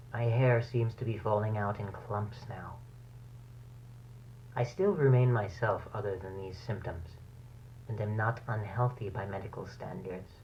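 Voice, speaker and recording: Horror tape diary: male actor as anxious scientist mutating into bat creature. Low, brooding, halting, clinical; noisy, humming recording. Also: lo-fi sound. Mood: uneasy, clinical. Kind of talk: horror audio diary, analog horror acting.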